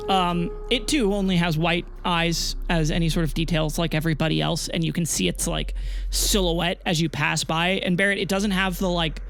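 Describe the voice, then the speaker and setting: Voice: mid-range voice, steady tone, moderate pace, a bit bright. A game master casually narrating a tabletop audio drama in descriptive, conversational language. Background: background music.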